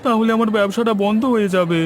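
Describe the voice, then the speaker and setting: A man's voice: sits high, steady tone, fast talker, slight background noise, close to an everyday voice. A man voicing a crooked, pleading village eatery owner in a cartoon, with an apologetic manner. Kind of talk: cartoon story dialogue.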